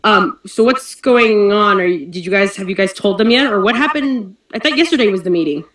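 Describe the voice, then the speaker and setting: A woman's voice: mid-range voice, animated, a bit bright. Woman on an echoey, distant call. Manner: informal, halting. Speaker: narrator on the production team. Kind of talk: casual advice in a group meeting. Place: online group voice call. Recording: echoey voice-call audio, far from mic.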